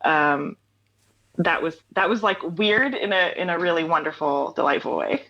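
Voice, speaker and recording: A woman's voice: lowish voice, moderate pace, fairly bright tone, lo-fi sound. A woman in her forties, a singer-songwriter guest telling stories in a casual interview, laughing often. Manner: chatty, laughs often, storytelling.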